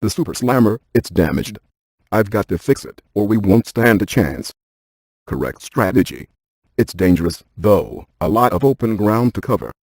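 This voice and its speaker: An unflappable scientist game hero, low and dark in voice with a slightly husky edge. He rattles off technical detail in a precise, clinical deadpan, recorded close and dry. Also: moderate pace, no echo. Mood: urgent, focused. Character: brainy, unflappable male scientist who hunts ghosts. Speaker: video game character, ghost-hunting scientist. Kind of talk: scripted game voice lines.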